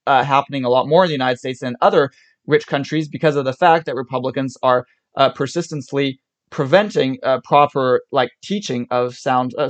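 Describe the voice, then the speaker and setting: A young man from Switzerland, born there and streaming from a desk mic, talks through his reactions to clips in loose, halting bursts. He stops himself, restarts sentences and laughs often, at a voice of middling pitch. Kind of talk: casual reaction commentary.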